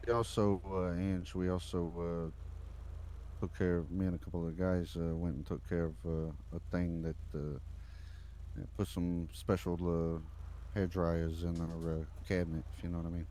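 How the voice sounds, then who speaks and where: A man's voice: sits low, level tone, moderate pace, dark tone, natural voice. A man playing an eager, deferential mobster reporting to his boss in an improvised crime roleplay game, hesitant and repetitive. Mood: hesitant, sly. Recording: car and engine noise behind.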